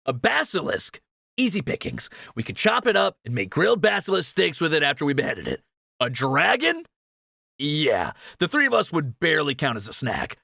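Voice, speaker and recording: Man playing a lively, high-pitched cocky young thief. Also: gravelly, no echo, studio quality, heavily acted. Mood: nervous, incredulous. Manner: joking, animated, quick to exclaim. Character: cocky, excitable, jittery male fantasy thief. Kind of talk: ASMR fantasy roleplay audio drama.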